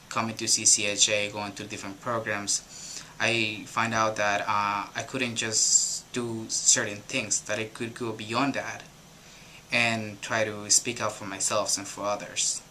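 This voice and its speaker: A man's voice: lowish voice, flat delivery, a little slow, pushed and bright, a little hiss or hum, poor audio. Earnest young man, Guatemalan Spanish accent. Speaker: youth program participant. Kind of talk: personal testimony.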